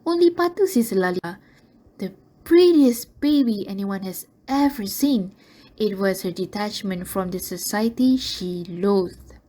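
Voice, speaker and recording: A woman's voice: medium pitch, lively intonation, measured pace, warm tone. A woman narrating a scripted radio drama in a storytelling style.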